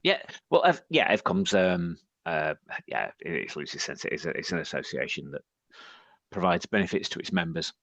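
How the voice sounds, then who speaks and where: A man from northern England with a deep husky voice, hoarse from shouting, talking as a guest on a podcast with many restarts. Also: very animated, normal speed, dry recording. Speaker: podcast guest, agency head. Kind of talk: casual panel chat.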